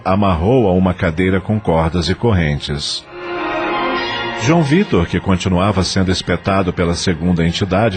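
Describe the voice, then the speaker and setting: A man with a Brazilian accent narrating an audio novel in a scripted, dramatic style. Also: low-pitched.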